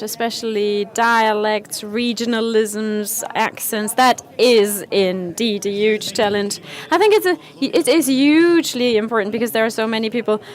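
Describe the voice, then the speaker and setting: Higher-voiced woman presenting at a conference. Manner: explanatory, quotes customers' questions. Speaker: conference speaker. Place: conference stage.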